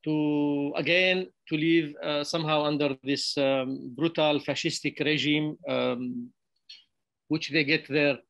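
A middle-aged man from Syria, a film director answering festival questions over a video call, halting and restarting often. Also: on the high side, steady tone, vocal fry, a bit bright, no echo, highs cut off. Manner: halting, many restarts and repeated words. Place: bright room with plants.

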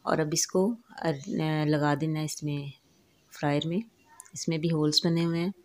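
A woman hosting a home cooking channel, calmly explaining each step of a recipe in a voice-over. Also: sits low, a little slow. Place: home kitchen.

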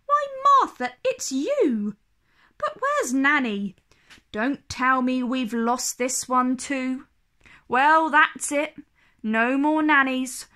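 A woman voicing an anxious, gentle mother in a storybook, with a worried manner. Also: high voice, very animated, slow, heavily acted. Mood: surprised, resolved. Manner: worried, sighing, gasping.

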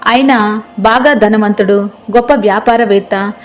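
A woman's voice: medium pitch, a little slow, soft background music. A woman reading a scripted moral folk tale as narrator. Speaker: story narrator.

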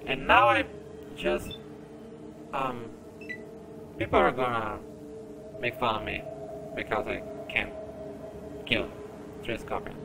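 Slow-talking, creaky-voiced man playing a game. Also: mid-range voice, big pitch swings, noisy background. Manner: self-deprecating, halting. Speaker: gamer commentating on own gameplay. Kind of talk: casual let's-play commentary. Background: game music.

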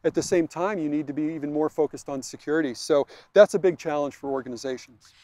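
A man in his forties, a technology executive, answering questions on a walk in a park, halting and full of restarts and repeated words. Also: on the high side, dark tone, no room sound. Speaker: vice president of technology. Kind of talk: conversational interview on a walk. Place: outdoors in a park.

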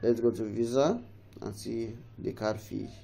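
A man's voice: fairly low pitch, steady tone, measured pace, vocal fry, mellow, soft background music. A man with a cold giving a casual, rambling phone tutorial, often restarting his sentences and urging viewers along. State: has a cold. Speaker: tech tutorial host. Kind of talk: casual screen-recorded tutorial.

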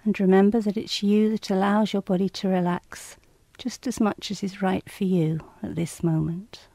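A woman reading scripted guided relaxation instructions in a calm, measured, soothing and slightly clinical manner. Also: medium pitch, no room sound.

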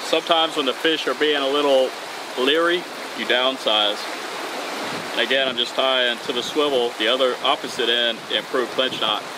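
A man's voice: mid-range voice, normal speed. Upbeat man teaching outdoors by the water. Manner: upbeat, casual, talks while tying knots. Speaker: fishing channel host. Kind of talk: outdoor how-to tutorial. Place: outdoors on a fishing bridge over open water. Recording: outdoor recording with wind and water noise. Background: wind and waves.

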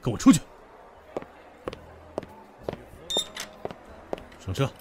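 A man's voice: very fast, very noisy, natural voice. An actor playing a brash, possessive, hot-tempered young man in an audio drama, goading and domineering. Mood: angry, commanding. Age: young adult. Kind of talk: Chinese audio drama acting. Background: background music.

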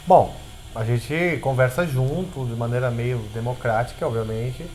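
A middle-aged Brazilian man talking as a guest on a couch, an animated storyteller who stumbles and repeats words. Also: mid-range voice, normal speed, slight background noise. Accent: Brazilian Portuguese. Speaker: guest musician. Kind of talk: casual interview conversation. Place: indoor living room set on a couch.

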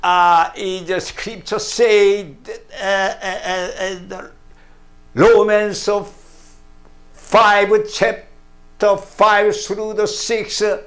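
An older man with a Korean first language preaching a sermon to camera, slow and emphatic, often calling out hallelujah, in broken English. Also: sits high, level tone, fairly bright tone, faint music behind. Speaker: pastor. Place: plain studio room, indoors.

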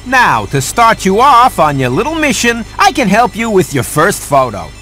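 Sarcastic house cat, high-pitched, lively swings, fussy, sardonic, video game cartoon. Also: male voice, moderate pace, soft background music, exaggerated voice. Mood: wry, instructing. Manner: wisecracking, sardonic. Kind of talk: animated video game cutscene acting.

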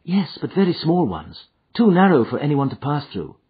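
A man voicing an anxious, eager young Victorian gentlewoman in an audiobook, with an earnest, polite manner. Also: on the high side, big pitch swings, moderate pace, husky, voice pushed up, exaggerated voice. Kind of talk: audiobook character dialogue. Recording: muffled, thin phone-like audio.

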